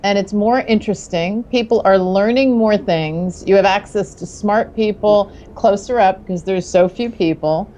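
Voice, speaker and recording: A woman's voice: medium pitch, moderate pace, hardly any pauses, mellow, slight background noise. A woman panelist talking casually and with strong opinions in a group discussion, outspoken and wry.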